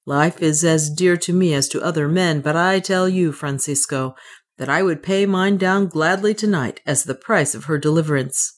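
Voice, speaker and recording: A woman's voice: low voice, normal speed, close to an everyday voice. A woman reading an audiobook, voicing a determined Englishman who talks earnestly and resolutely. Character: adult Englishman Leonard, steady and determined.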